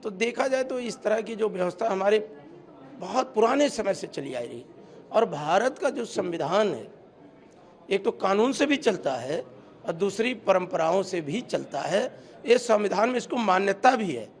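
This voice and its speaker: A male politician explaining his view in an interview, in deliberate short phrases. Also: high voice, expressive.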